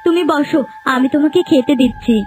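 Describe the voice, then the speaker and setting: Cartoon casting: actress as spiteful young village wife, high voice, melodramatic delivery. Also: female voice, fairly quick, darkish tone, soft background music, natural voice. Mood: anxious, pleading. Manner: dramatic, exclamatory. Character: spiteful young second wife, scolding bully turned cowering servant. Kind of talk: Bengali cartoon voice acting.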